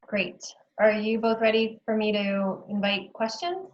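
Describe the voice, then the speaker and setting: A woman's voice: medium pitch, unhurried. Woman moderator on a muffled video call, friendly, fumbling with the controls. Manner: friendly, a bit flustered with the meeting controls. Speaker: career services moderator.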